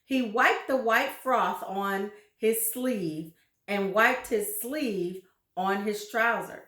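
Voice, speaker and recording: A woman reading a children's story aloud with deliberate, dramatic emphasis and brief asides to her listeners. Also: medium pitch, a bit bright, reverberant.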